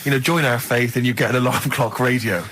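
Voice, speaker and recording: A man's voice: medium pitch, fairly even intonation, quick pace, fairly bright tone. A man co-hosting a radio show, chatting casually with an articulate, wry, mock-explanatory manner. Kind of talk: casual comic chat.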